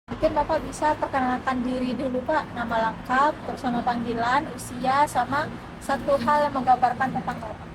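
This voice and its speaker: Female interviewer over loud background noise. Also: high-pitched, fairly even intonation, a little fast. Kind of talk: brief interview prompts.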